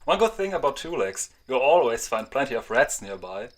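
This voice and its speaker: Amiable stout loner tomcat acted by a man, chatty, very lively intonation, mid-range male pitch. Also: moderate pace. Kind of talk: fandub audiobook voice acting.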